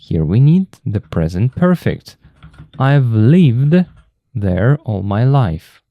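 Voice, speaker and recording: A man with a Russian accent teaching English grammar from a textbook, explaining slowly and patiently and repeating the key terms. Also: mid-range voice, very animated, no room sound, phone-quality sound.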